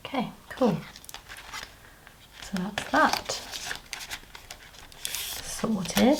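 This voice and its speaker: Croaky British woman counting cash slowly. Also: lowish voice, background noise. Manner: counting money aloud, chatty asides. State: croaky voice, clearing throat. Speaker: budgeting vlogger. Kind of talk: casual cash-stuffing commentary.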